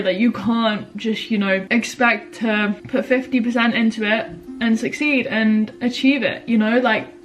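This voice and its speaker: A woman's voice: mid-range voice, low-quality recording. A young British woman with a slightly nasal, stuffed-up voice from a cold, talking earnestly to her channel viewers in a chatty, motivational way with lots of fillers. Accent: British English. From United Kingdom. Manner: earnest, chatty, many "like" and "you know" fillers. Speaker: YouTube vlogger. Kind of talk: casual motivational talk to camera.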